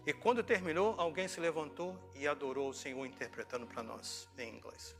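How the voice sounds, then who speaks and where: A man's voice: a little high, fairly quick, fairly bright tone, soft background music. A middle-aged Brazilian man preaching informally as a pastor, telling stories conversationally with rhetorical questions. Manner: conversational storytelling, acting out dialogue, asking rhetorical questions. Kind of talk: informal sermon with personal anecdotes.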